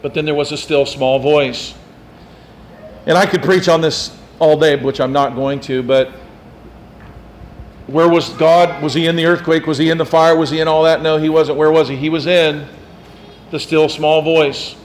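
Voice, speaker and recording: A man's voice: a little high, fairly even intonation, normal speed, slight background noise. A man preaching a sermon as pastor, in a conversational way, asking the congregation questions and teasing them.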